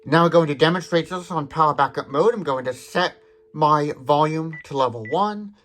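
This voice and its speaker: A man's voice: fairly high pitch, normal speed, fairly bright tone, a little hiss or hum. Man methodically demonstrating a gadget. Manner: detailed, methodical explaining. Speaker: tech demonstration video host. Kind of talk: hands-on product demonstration commentary.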